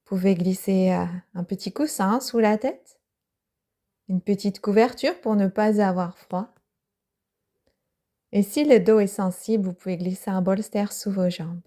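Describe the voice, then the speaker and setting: Slow, soft-spoken woman guiding relaxation. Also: medium pitch. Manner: slow, soft-spoken guidance with breathy, half-whispered phrases. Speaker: yoga teacher. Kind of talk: guided yoga nidra relaxation.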